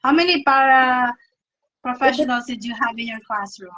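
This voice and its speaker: A woman's voice: sits high, moderate pace, sharp tone, poor audio. A woman, chatting casually as the interviewer and sharing stories from her own teaching.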